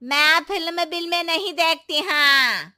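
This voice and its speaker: Shrill bossy mother-in-law. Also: slow pace, no room sound, studio quality, heavily acted. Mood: scolding. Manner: scolding, ends remarks with a sharp "haan". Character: stern old village mother-in-law, bossy, proud. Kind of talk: animated cartoon dubbing.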